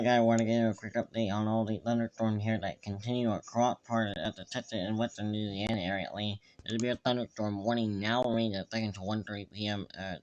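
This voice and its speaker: A man giving improvised live weather commentary, halting and repetitive, stumbling over phrases and place names. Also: fairly low pitch. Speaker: livestream weather host. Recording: dull lo-fi microphone sound.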